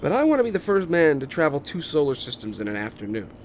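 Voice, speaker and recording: Casting: man performing exasperated male engineer, sarcastic and condescending, pitched high with lively swings, amateur table read. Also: fast. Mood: grim, impatient. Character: Gordon, irritable, impatient, sarcastic male engineer. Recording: noticeable background noise.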